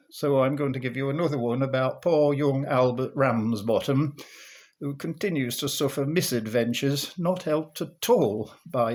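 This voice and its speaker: A man reciting a comic verse monologue in a theatrical, measured storytelling manner. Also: medium pitch. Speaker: monologue performer.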